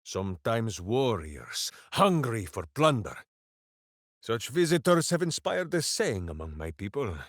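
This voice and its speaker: A big, simple-hearted video game warrior with total heroic earnestness. His delivery is unhurried and theatrical, with very lively swings of pitch. The sound is dry and close, and every phrase is grandiose. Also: dry recording, full character voice. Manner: grandiose, earnest, speaks of himself in third person. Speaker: video game companion character.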